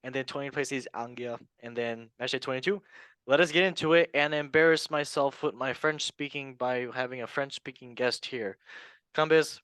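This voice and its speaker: A man's voice: mid-range voice, normal speed, smooth, no room sound. A man hosting a casual football podcast, joking about himself and reading out league tables. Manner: jokey, self-mocking, animated. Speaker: podcast host. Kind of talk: casual sports chat.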